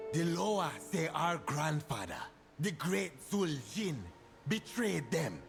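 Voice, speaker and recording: A man voicing a proud, ambitious young troll prince in a video game, with a grandiose, deliberate way of speaking. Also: fairly high pitch, very animated, gravelly, full character voice. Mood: rising anger. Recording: music behind the voice.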